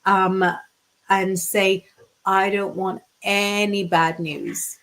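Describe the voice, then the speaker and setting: A woman's voice: medium pitch, extremely slow. Chatty British tarot reader woman. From United Kingdom. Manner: chatty, animated, reads out viewer comments. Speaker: psychic tarot reader, guest teacher. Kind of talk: casual livestream teaching chat.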